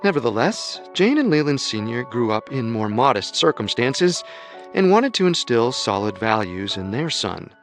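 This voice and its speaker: Calm male documentary narrator. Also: medium pitch, normal speed, soft background music. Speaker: narrator and co-host.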